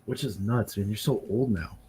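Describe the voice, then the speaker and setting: A man in his twenties hosting a casual chat, excitable and quick, swearing freely. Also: on the low side, husky. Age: 20s. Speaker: podcast host.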